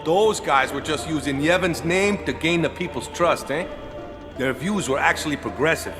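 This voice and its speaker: Game drama: easygoing, blunt older guardian man male actor, colloquial talk, higher-set voice, music underneath. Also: moderate pace, natural voice. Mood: patient explaining. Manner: colloquial, tags sentences with "eh" and "yeah". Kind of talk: acted audio drama dialogue. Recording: music behind the voice.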